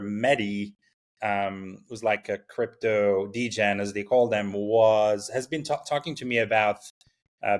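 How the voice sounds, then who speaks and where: A founder from Morocco talking casually in an interview, full of fillers and restarts. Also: male voice, lowish voice. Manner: halting, many fillers and restarts. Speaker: startup founder, guest.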